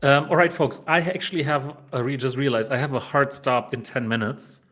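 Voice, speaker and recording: A man's voice: medium pitch, fairly even intonation, a little fast, fairly bright tone. A man from Poland hosting a developer live stream, chatting casually with lots of fillers and restarts. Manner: rambling, many fillers and restarts.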